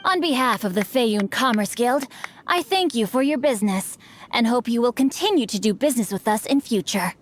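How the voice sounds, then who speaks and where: Casting: a woman as a polished young male noble, fairly high voice, archaic phrasing, sly humor. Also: lively intonation, moderate pace. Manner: formal, archaic wording, dry teasing wit. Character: witty bookish young noble heir, male, playful. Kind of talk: game cutscene voice acting.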